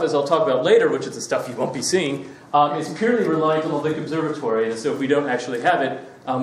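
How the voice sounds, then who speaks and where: A man's voice: a little high, slight background noise. Chatty Australian man in an echoey hall. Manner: chatty, joking, many fillers. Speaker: guest lecturer. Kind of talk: public astronomy lecture. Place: lecture hall. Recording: echoey hall, mic from far off.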